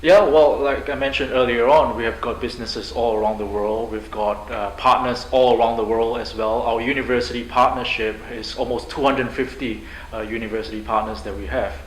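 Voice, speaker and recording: A man's voice: mid-range voice, fairly even intonation, brisk, hardly any pauses. A man from Singapore, a university president answering interview questions in an upbeat, fluent way with frequent fillers. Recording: echoey room, faint noise.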